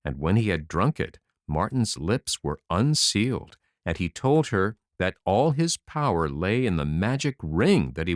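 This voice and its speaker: A man narrating a fairy tale as an audiobook, in an expressive storytelling style. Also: low-pitched, no room sound, studio quality.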